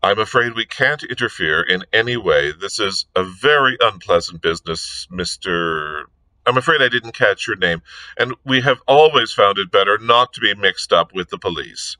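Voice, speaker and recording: A man acts out a respectable, snobbish matron in an audiobook. She speaks with prim disapproval and stiff politeness, with a sharp edge and a dry, close sound. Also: fairly low pitch, expressive, normal speed, dry recording, low-quality recording. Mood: prim, disapproving. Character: haughty, disapproving respectable married woman.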